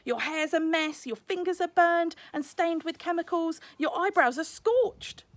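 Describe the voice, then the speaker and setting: A woman's voice: extremely high pitch, measured pace, no room sound, voice pushed up. Woman acting a blustering, fretful father. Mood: fretful, scolding. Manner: blustering, exclaiming. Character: Lackland, stern fretful father, master firework maker.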